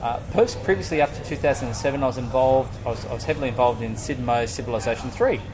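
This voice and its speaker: A man's voice: mid-range voice, fast, low-quality recording. Guy co-hosting a chatty podcast, loose and jokey, swears now and then, music going underneath. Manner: loose, joking, swears casually. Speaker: podcast co-host. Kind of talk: casual chat, reading out a guest's written answers.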